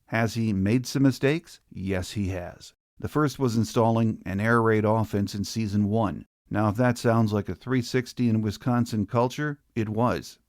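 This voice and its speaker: A man's voice: fairly low pitch, a little mellow, no echo, clean studio sound. An American man hosting a college football podcast, reading scripted commentary. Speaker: podcast host.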